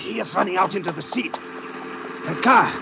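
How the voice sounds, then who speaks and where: Furtive male radio-drama henchman, menacing, gravelly, on a noisy, clicky vintage recording. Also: sits high, moderate pace, highs cut off. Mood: alarmed. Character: furtive, menacing male thug stalking and robbing a man. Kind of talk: old-time radio drama acting.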